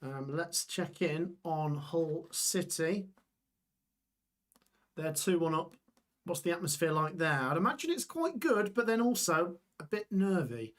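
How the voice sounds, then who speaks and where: A young man hosting a live football watch-along stream, reading out scores and chatting with viewers while his voice gets hoarse. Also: a little high, expressive, unhurried. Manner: chatty, reads scores aloud, reacts to chat. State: voice going hoarse.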